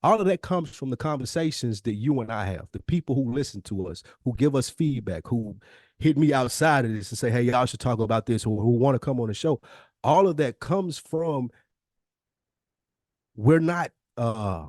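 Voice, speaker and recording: Male podcast co-host, mid-pitched voice, dry close sound. Casual but insistent persuader who drives points home with repetition. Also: a little mellow, no echo. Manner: emphatic, insistent, repeats phrases in lists. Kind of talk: casual conversational sales pitch.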